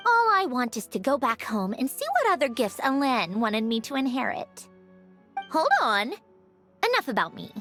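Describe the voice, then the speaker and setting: A woman voicing a haughty, sardonic mechanical young aristocrat in a video game, speaking in a crisp, dry manner. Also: a little high, sing-song, moderate pace, fairly bright tone, faint music behind. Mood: dismissive.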